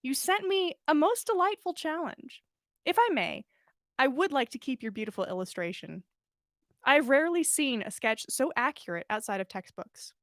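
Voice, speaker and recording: A man's voice: extremely high pitch, expressive, normal speed, fairly bright tone, no echo, clean studio sound, heavily acted. A woman voicing an earnest, absent-minded male plant expert in a story, with formal, wordy, polite speech.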